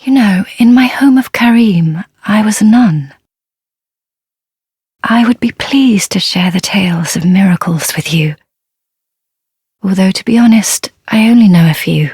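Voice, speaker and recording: An actress playing a gentle, devout and fearful blind young nun in a dark fantasy game, speaking softly and humbly in a breathy, whispery voice. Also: female voice, medium pitch, no room sound, studio quality. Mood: humble, devoted. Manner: halting, humble, formal, reverent.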